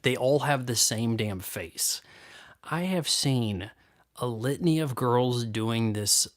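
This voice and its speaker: A man's voice: medium pitch, animated, takes it slowly. A man in his thirties, chatting casually on a live stream in a rambling, crude, sarcastic way, often stopping and restarting his sentences. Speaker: streamer answering chat.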